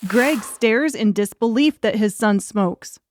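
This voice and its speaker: Female audiobook narrator, scripted fiction reading, moderately high register. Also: takes it slowly, a little hiss or hum.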